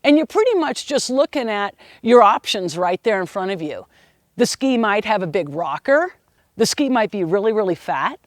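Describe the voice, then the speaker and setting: A middle-aged woman ski instructor giving an opinionated lesson on equipment in a strong, loud, emphatic voice. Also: medium pitch, very animated, normal speed, no echo, clean studio sound. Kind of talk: instructional video talk.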